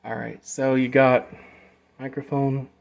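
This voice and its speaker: A man's voice: mid-range voice, level tone, a little slow, gravelly. Male presenter, informal and rambling, for a casual hands-on gear demo. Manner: informal, rambling, trails off mid-explanation. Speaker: presenter demonstrating a vocal effects unit.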